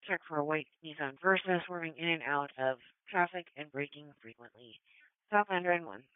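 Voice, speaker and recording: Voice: medium pitch, brisk, smooth, dry recording. A dispatcher on police radio, giving calls to units in clipped, procedural phrases. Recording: tinny narrowband police scanner radio.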